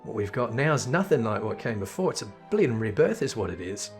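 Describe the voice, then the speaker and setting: A man's voice: on the low side, big pitch swings, brisk, soft background music. A man voicing a blunt, streetwise woman from a game's slums, with salty, colloquial working-class British phrasing. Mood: reflective, blunt. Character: blunt, streetwise slum woman leading commoners, plain-spoken.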